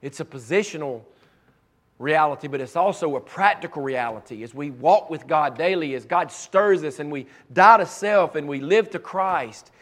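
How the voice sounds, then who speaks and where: Male pastor, high and raspy, fervent, with repeated emphatic lines. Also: normal speed, a bit bright. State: raspy voice from cheering and allergies. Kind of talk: preaching a sermon.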